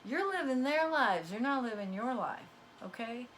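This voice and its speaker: A woman's voice: fairly high pitch, expressive, normal speed, a bit bright, a little hiss or hum. A woman who is losing her voice, giving a casual, emphatic spiritual pep talk as a card reader, folksy and preachy in tone. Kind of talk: casual motivational talk to viewers.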